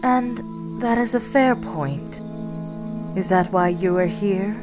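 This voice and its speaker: A woman's voice: mid-range voice, over music, close to an everyday voice. A woman playing a proud, formal, ancient nature goddess in a fantasy audio drama. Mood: proud, composed. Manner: formal, measured.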